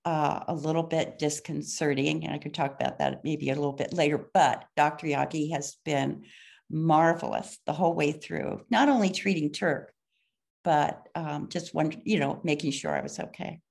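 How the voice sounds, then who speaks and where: A woman in her sixties, a patient's wife and caregiver, speaking on a video call from home in a halting way with many fillers. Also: low voice, steady tone, gravelly, darkish tone. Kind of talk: video call panel discussion. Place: home room, indoors.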